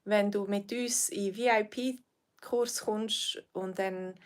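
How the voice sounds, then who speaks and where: A woman's voice: mid-range voice, steady tone, drawn-out words. A Swiss woman with a Swiss accent, a language teacher answering viewers' questions on a livestream, friendly and hesitant, with frequent fillers. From Switzerland.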